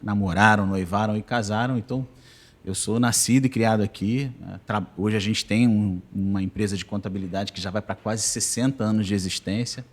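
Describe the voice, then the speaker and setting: A man in his forties with a Rio de Janeiro accent, speaking as a guest on a podcast. He tells his story in a calm, unhurried way, often tagging sentences with a questioning "né?". His voice sits fairly low. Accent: Rio de Janeiro Portuguese. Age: 40s. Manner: calm, conversational storytelling with frequent "né?". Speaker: guest, business association president.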